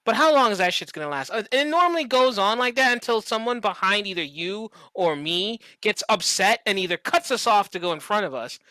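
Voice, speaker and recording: A podcast co-host chatting casually and comedically, acting out examples and swearing freely in an animated storytelling manner. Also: mid-range voice, a little fast, hardly any pauses, no echo, rough recording.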